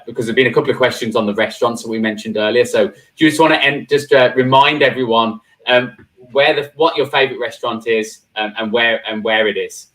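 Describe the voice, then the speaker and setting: A man's voice: mid-range voice, fairly bright tone, rough recording. A man hosting a live cybersecurity roundtable stream, chatty and full of fillers and restarts. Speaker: host.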